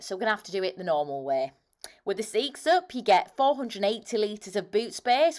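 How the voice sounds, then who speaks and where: A woman reading an upbeat, scripted voice-over for a car review. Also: mid-range voice. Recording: voice-over with faint music underneath.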